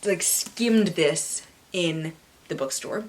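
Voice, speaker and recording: A woman's voice: lowish voice, lively intonation, slow, rough recording. A North American woman hosting a book vlog in a playful, theatrical manner, mixing casual chat with dramatic readings and asides. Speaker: book channel host. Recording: clearly audible background hiss.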